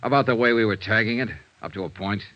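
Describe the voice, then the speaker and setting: An actor playing a shrewd, cool-headed investigator in 1950s radio detective drama, with a brisk, hard-boiled, confident way of reasoning. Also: male voice, lowish voice, no echo, lightly acted. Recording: dull vintage radio recording.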